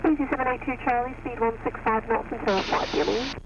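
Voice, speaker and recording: A woman's voice: a little high, quick pace. A woman working as an air traffic controller, giving clipped instructions over aviation radio. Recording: muffled crackly radio with loud noise.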